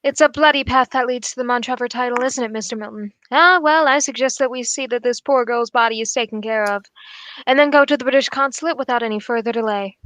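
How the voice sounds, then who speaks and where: High-voiced, composed gentleman detective played by a woman. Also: close to an everyday voice. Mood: composed, brisk. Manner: formal, measured, explanatory. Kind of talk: old radio drama acting.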